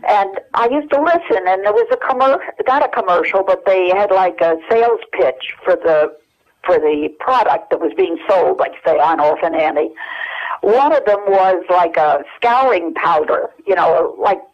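An older American woman reminiscing as an interview guest, telling anecdotes haltingly over a telephone. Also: on the low side, animated. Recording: dull lo-fi telephone hook-up.

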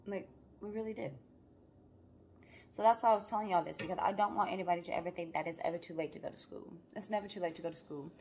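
Low-voiced young woman vlogging at home. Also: slight background noise. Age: young adult. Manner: animated, emphatic motivational talk. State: hoarse from rehearsals. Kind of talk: casual vlog talk to camera. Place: home living room, then car. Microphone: phone camera held close.

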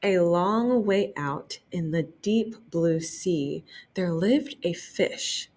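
A young woman librarian reading a picture book aloud for children, enthusiastic and gently expressive, stopping to remark on the pictures. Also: on the low side, slow. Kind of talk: storytime read-aloud.

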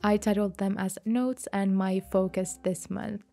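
Woman a bit sick w a flu, calm gentle voice-over walking thru her journal painting, soft music behind. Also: mid-range voice, measured pace, vocal fry. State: slightly sick from a mild flu. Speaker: bullet journal creator. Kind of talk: voice-over narration of a craft video.

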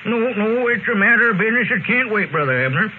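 High-voiced pompous squire, old radio. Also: male voice, animated, fast talker. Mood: urgent, pompous. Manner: oratorical, urgent, pompous. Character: pompous, alarmist small-town squire rallying men. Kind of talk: 1930s-40s radio comedy acting. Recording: faintly noisy, narrow-band old radio recording.

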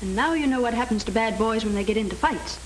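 An actress playing a sweet, playful young American younger sister in a 1930s film, on a hissy, crackly soundtrack. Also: female voice, fairly high pitch, fast talker, natural voice. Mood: playful, caring. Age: young adult.

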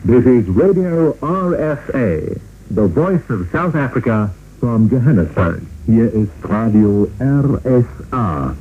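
A man's voice: medium pitch, lively intonation, takes it slowly, long pauses. A man working as a station announcer, reading a formal radio station identification. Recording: dull, noisy shortwave radio recording.

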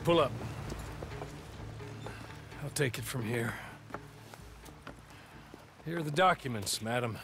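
A steady, polite frontier man in a Western game. He speaks dryly and with measure at a middling pitch, with music clearly playing behind him. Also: slow pace, long pauses. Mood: measured. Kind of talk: video game cutscene acting.

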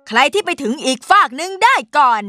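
A woman's voice: sits high, expressive, fast talker. A woman voicing a magical little sage in a children's cartoon, declaiming with theatrical authority. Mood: announcing. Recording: music and jingles behind.

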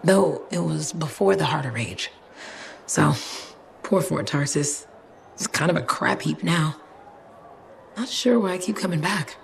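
A woman's voice: low voice, expressive, a little slow, pauses often, exaggerated voice. An actress playing a cranky, nostalgic townswoman in a video game, talking in a wry, plainspoken way. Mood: wistful. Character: cranky, nostalgic townswoman stuck outside fort gates. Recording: game audio with clear ambient noise.

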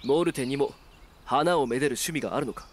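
A man's voice: mid-range voice, brisk, a little hiss or hum, natural voice. A man playing a cool, resolute young adventurer in a voice drama, terse, dry and composed. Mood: calm, dry. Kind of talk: Japanese voice drama acting.

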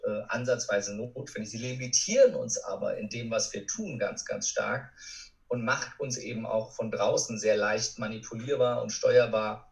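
A man in his thirties speaks as a guest coach on a podcast. His voice sits at a middle pitch, and he explains his points conversationally, as in an interview. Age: 30s.

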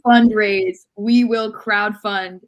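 A woman's voice: medium pitch, steady tone, slow, muffled recording. A young woman co-hosting a casual sports chat from a room at home on a video call. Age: young adult. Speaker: sports podcast co-host.

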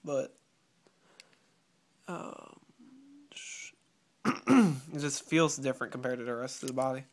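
A teenage boy chatting eagerly through a toy unboxing while getting over a cold, often clearing his throat, filmed on a phone at home. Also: mid-range voice, lively intonation, slow pace. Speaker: toy reviewer. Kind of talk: casual unboxing review. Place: home room with patterned rug. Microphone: phone camera held close. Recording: clear crinkling packaging noise. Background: loud crinkling plastic packaging.

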